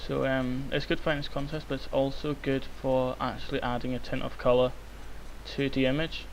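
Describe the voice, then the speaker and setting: A young man, hoarse and croaky from illness, rambling with many ums through a software tutorial. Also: mid-range voice, flat delivery, normal speed, low-quality recording. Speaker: tutorial maker. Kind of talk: screen-recorded software tutorial. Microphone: cheap microphone. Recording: faint hiss, cheap mic.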